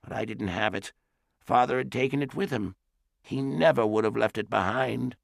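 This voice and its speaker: A man voicing a frail, wistful elderly great-aunt who talks softly and slowly in an audiobook. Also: mid-range voice, creaky, no echo, studio quality. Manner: soft, slow and reminiscing. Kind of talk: audiobook character voice.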